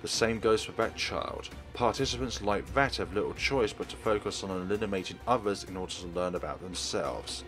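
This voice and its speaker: A man's voice: lowish voice, brisk, few pauses, over music, lightly acted. A man reading as a terse young man.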